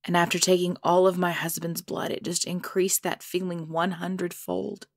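A proud and menacing cursed creature played by a woman in an audio drama. Her voice sits low, and she tells her tale formally, with composed, deliberate weight. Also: flat delivery, gravelly, dry recording, studio quality. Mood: brooding, intense. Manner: formal, measured, deliberate storytelling delivery.

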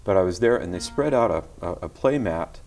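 Middle-aged man, TV studio guest, soft and gentle with hesitant restarts, somewhat low voice, lapel mic. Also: animated, brisk, faint music behind. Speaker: guest musician. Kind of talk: casual interview talk. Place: tv studio set.

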